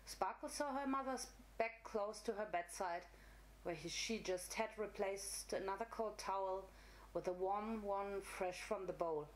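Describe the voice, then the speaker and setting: A woman's voice: mid-range voice, measured pace, rough recording. A woman narrator giving a dramatic reading of a fantasy story.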